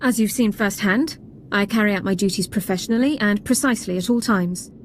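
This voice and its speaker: A woman's voice: mid-range voice, fairly quick, a little hiss or hum, close to an everyday voice. A woman playing a prim, by-the-book army sergeant in a video game, speaking formally and precisely. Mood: composed, earnest. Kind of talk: scripted video game acting.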